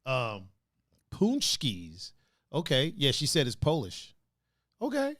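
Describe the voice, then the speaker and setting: A man's voice: medium pitch, wildly swooping pitch, takes it slowly, a bit bright, dry recording, clean studio sound. A man co-hosting a casual podcast from home, joking, laughing often and reading out the live chat. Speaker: podcast co-host.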